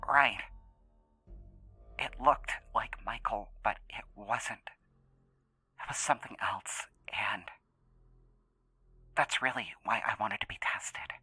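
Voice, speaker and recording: An actor playing an anxious, earnest young man in an audio drama interview, speaking haltingly and repeating words. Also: fairly low pitch, bright, forceful tone, slightly rough, in a whisper, soft background music, full character voice. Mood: worried, pleading. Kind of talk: naturalistic audio drama acting.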